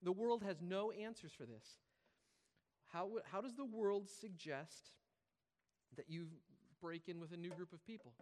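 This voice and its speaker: A man's voice: high-pitched, unhurried, a little mellow. A man preaching a conversational, informal sermon as a pastor, repeating key phrases for emphasis. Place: large reverberant room, preaching live to congregation. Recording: hall reverb, live preaching.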